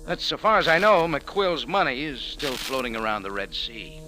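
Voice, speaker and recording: An actor playing a tough, sardonic American café owner in an old-time radio adventure, with clipped, commanding delivery. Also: male voice, medium pitch, normal speed, over loud music, close to an everyday voice. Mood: wry narrating. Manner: hard-boiled, clipped, commanding. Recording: noisy old radio drama recording.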